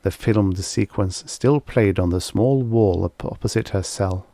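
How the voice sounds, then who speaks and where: Man, audiobook narrator, low voice, gravelly creak, close dry sound, vintage sci-fi storytelling. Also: a little slow, dark tone, no room sound. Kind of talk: scripted narration of vintage science fiction stories.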